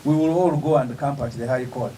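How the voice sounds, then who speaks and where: A man, an opposition politician giving an emphatic, measured press statement with pauses between phrases. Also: medium pitch, background noise.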